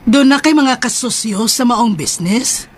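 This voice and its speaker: A woman playing a patient, supportive mother of a grown son in a radio drama, gentle and consoling. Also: on the high side, measured pace, slight background noise, close to an everyday voice. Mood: interested, pleased.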